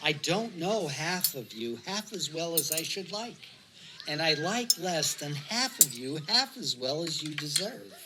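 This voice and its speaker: A woman playing a jovial, eccentric elderly male hobbit in an audio drama, orating in a grand, deliberate manner. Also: deep voice, sing-song, heavy background noise. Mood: wry, formal. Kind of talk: theatrical audio drama speech.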